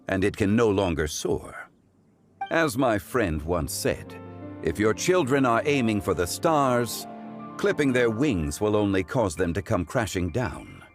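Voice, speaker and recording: A man playing a calm, wise, courteous scholarly gentleman in a video game, speaking formally in measured, eloquent phrases. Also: lowish voice. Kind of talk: scripted video game dialogue.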